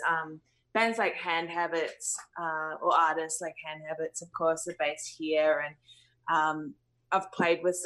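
A woman's voice: lowish voice, a bit bright, poor audio. A woman in her thirties from New Zealand, a musician answering interview questions in a thoughtful, hesitant way with many fillers.

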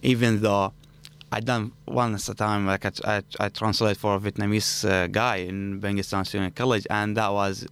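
A man's voice: lowish voice, little pitch movement, normal speed, few pauses, a bit bright, smooth. A man who is a native Arabic speaker, with an Arabic accent, answering conversationally as a student guest in a studio interview. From Arabic-speaking country. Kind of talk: conversational storytelling in non-native English.

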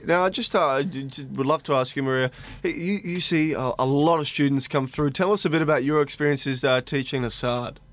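A man's voice: on the high side, brisk, few pauses. A man hosting a studio interview in a courteous, appreciative way. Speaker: radio interview host.

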